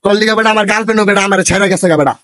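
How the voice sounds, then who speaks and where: A man's voice: high-pitched, very fast, fairly bright tone, no echo, clean studio sound. A man with a Bangladeshi accent playing an aggrieved, hot-headed shop worker in a comedy skit, coarse and complaining. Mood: angry. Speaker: comic actor in an online skit. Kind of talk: broad comedy skit acting.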